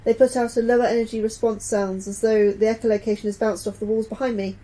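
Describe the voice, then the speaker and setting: A woman playing a gentle, anxious, nerdy scientist in an audio drama, speaking earnestly and a little hesitantly. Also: fairly high pitch, level tone, quick pace, natural voice, rough recording. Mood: keen, geeky. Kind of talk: naturalistic audio drama acting.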